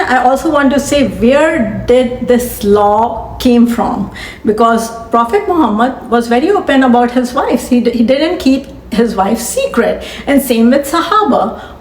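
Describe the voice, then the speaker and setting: A woman's voice: fairly high pitch, a little mellow. Firm woman, echoey room. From Pakistan. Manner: earnest, firm, reasoned argument with pauses. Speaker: commentator. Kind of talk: opinion commentary to camera.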